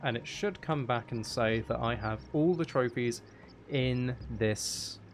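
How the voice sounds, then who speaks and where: A man's voice: medium pitch, slow, a little hiss or hum. A man chatting casually on a live gaming stream, rambling and half talking to himself as he plays. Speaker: game streamer.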